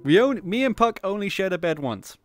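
A man's voice: sits high, very animated, faint music behind. A man streaming in a virtual world, chatting casually and laughing often. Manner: giggly, teasing, quick to laugh. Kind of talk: casual livestream banter.

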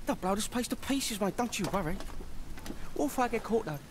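A cocky young English soldier in a war game, cheeky and informal. The voice is on the high side, with very loud noise behind it. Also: male voice, animated, brisk. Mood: defensive. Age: young adult. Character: cocky young British saboteur, cheeky, defensive petty crook. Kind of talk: cinematic war game acting. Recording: loud battle and engine noise.

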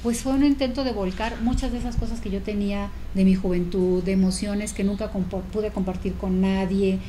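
A woman's voice: medium pitch, steady tone, a little fast, mellow, slight background noise, low-quality recording. A middle-aged Mexican woman from Veracruz, an author chatting about her writing in a small studio on a lapel mic. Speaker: author guest. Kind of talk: conversational interview. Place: small indoor TV studio set.

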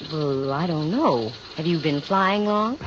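A woman's voice: low voice, lively intonation, measured pace, slight background noise. An American actress playing a wry, self-assured female private investigator in a 1950s radio drama, with dry wisecracking delivery. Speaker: lead actress and narrator. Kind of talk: 1950s radio detective drama acting, with first-person narration. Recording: muffled old radio recording, music behind.

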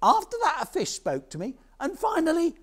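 Man acting out a high-pitched, panicky storybook farmer. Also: huge pitch swings, fairly quick, full character voice. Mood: frantic disbelief. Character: panicky male farmer, breathless, insistent and excitable.

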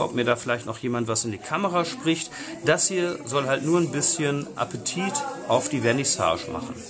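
A middle-aged man vlogging to a selfie camera at arm's length, chatty and upbeat, speaking straight to his viewers. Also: a little high. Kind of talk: casual vlog commentary to camera. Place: outdoors and inside a busy café. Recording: crowd chatter behind.

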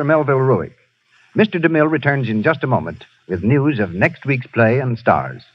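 A man announcing on a radio show, reading a soap commercial and cast credits in a persuasive delivery. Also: medium pitch. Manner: smooth persuasive sales delivery. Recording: muffled 1930s radio broadcast.

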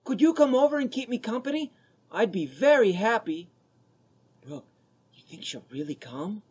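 A man acting an eager, lonely teenage boy in an amateur radio drama, boyish and husky. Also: high-pitched, sing-song, normal speed, darkish tone, exaggerated voice.

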